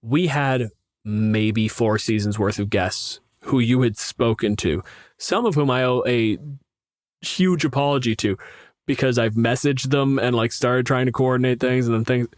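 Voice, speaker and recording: Male game master for casual podcast talk. Dry, close sound, mid-range pitch, blunt, teasing and foul-mouthed. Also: a little slow, dry recording.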